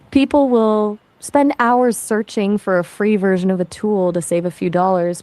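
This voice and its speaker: A woman's voice: lowish voice, warm tone. Upbeat American AI assistant voice, female. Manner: upbeat and encouraging. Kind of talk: conversational assistant chat.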